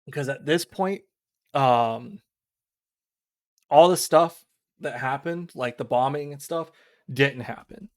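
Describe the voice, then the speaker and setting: A man giving casual commentary on a visual novel playthrough, explaining things in a rambling, halting way. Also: medium pitch. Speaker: game streamer. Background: game music.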